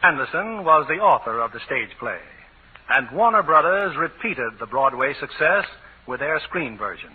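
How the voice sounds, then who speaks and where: Male 1940s drama host, theatrical scripted narration, lively and husky, narrow vintage radio recording. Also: on the high side, normal speed. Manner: theatrical, polished presenting. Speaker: announcer and host. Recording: muffled, tinny 1940s radio broadcast.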